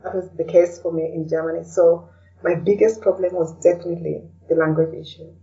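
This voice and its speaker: A woman's voice: on the low side, little pitch movement, a little fast, warm tone. A middle-aged woman with a Kenyan accent answering interview questions in a reflective, hesitant conversational way, often adding "you know". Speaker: podcast guest, blogger and podcaster. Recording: distant mic, dull lo-fi audio.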